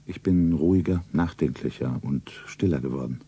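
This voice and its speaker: A wealthy, secretive lover in a seventies German radio play. His voice is low, very dark and husky. He speaks in a deliberate, solemn way, as if narrating his own romance. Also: exaggerated voice. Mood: detached narrating. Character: Markus, rich secretive suave lover of a shopgirl. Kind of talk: stylised 1970s German radio play acting.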